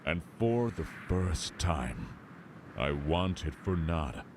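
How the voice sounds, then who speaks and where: Grieving abandoned father for a game: extremely low, solemn, widely swooping delivery. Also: animated, noisy background. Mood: wistful. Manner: slow, solemn storytelling. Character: sorrowful abandoned father recalling lost love. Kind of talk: dramatic game character narration. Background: game music.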